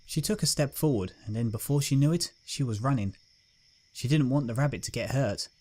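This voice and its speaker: A man's voice: mid-range voice, fairly quick, darkish tone. A man narrating a dramatic scripted story as its reader.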